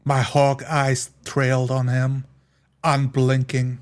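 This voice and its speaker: A man playing a stern, watchful adventurer in a tabletop roleplay, speaking in terse, deliberate phrases. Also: medium pitch, monotone, close to an everyday voice. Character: stern, watchful hawk-eyed adventurer of few words. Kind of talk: tabletop roleplay, narrating own character.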